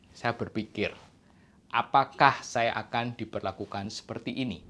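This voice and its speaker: A middle-aged man explains his views to camera in a measured way, stressing key words, on a lapel mic. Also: medium pitch, fairly bright tone. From Indonesia. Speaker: doctor, medical specialist presenting to camera. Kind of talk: explanatory opinion talk to camera. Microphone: clip-on lapel mic.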